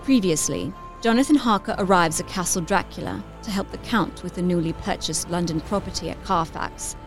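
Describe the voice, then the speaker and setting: Woman narrator, measured, over music. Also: fairly low pitch. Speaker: announcer and recap narrator. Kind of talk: scripted dramatic narration.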